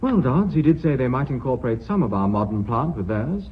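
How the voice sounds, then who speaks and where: An actor playing a suave, sardonic company director in a radio play, speaking with urbane, dry irony. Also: male voice, mid-range voice, big pitch swings, a little fast, breathy edge. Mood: grave, composed. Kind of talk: British radio play acting. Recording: thin, phone-like radio recording.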